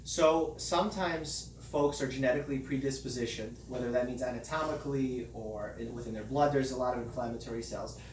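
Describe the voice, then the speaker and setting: A man who is a doctor, calmly explaining health matters in plain everyday words during a casual chat. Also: mid-range voice, brisk, few pauses, echoey room, distant mic, slight background noise. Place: hot room under studio lights.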